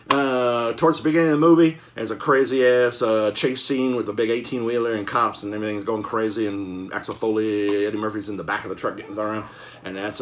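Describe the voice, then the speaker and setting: A man chatting casually and enthusiastically as host of a collecting show, with an excitable, slangy, folksy manner full of exclamations. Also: mid-range voice. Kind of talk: casual enthusiastic vlog chat.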